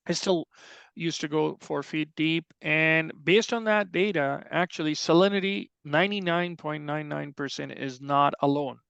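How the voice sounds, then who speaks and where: Gravelly Pakistani-accented man, slightly muffled. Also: on the high side, a bit bright, no echo. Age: middle-aged. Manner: explanatory, conversational asides. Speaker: extension soil health specialist, presenter. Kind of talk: informal technical presentation. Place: online webinar call.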